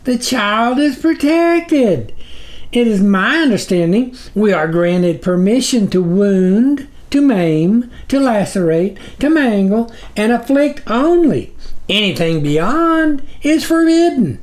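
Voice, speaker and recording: Man putting on a shrill, fawning demon minion. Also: animated, slow, a little mellow. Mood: anxious, fawning. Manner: obsequious, pleading.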